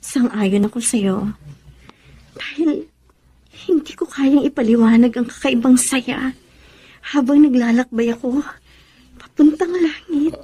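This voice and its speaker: A woman's voice: sits high, unhurried, long pauses, dark tone, husky. An actress playing Clarita, an earnest, hesitant young Filipino woman in love, in Tagalog radio drama style. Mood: dreamy, earnest. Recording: noisy track with background ambience.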